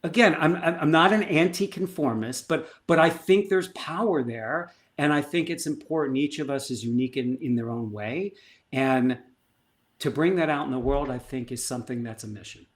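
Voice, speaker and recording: A middle-aged man, a coach, is a guest on a podcast and tells stories with lively emphasis, acting out what people said. His voice sits a little high for a man. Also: moderate pace. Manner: animated, emphatic storyteller who acts out dialogue. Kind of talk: conversational storytelling.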